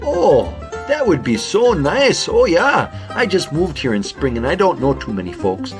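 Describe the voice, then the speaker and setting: Friendly folksy beaver , male actor, homely talker, hugely varied pitch; kids' audio drama over music. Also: very animated, unhurried. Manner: folksy, repeats "oh yeah" and "hey".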